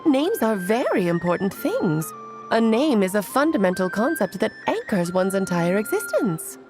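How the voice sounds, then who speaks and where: A woman's voice: medium pitch, sing-song, normal speed, over music, natural voice. An actress playing a playful, self-assured, motherly great mage in a video game, breezy and theatrical, given to lecturing. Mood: thoughtful, instructive. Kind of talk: scripted video game character dialogue.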